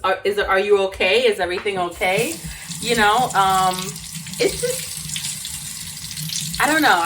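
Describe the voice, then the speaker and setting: A woman's voice: medium pitch, recorded from a distance, background noise. A woman from New York, born in Jamaica, chatting casually and rambling while she cooks in her home kitchen. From born in Jamaica, raised in Brooklyn, New York. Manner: rambling and chatty, laughs often, talks while chopping. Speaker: cooking vlogger. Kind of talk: casual chat while cooking.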